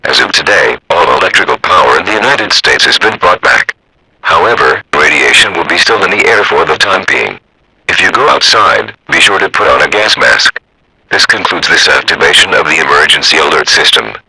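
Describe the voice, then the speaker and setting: Gravelly, robotic low-voiced male alert announcer. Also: lively intonation, brisk, pushed and bright, rough recording. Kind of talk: scripted emergency broadcast announcement.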